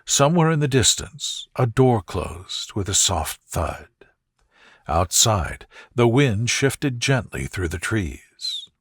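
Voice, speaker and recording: A man narrating a scripted sleep story in a calm, gentle, soft-spoken and soothing way. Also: on the low side, slow, slightly rough, no echo, clean studio sound.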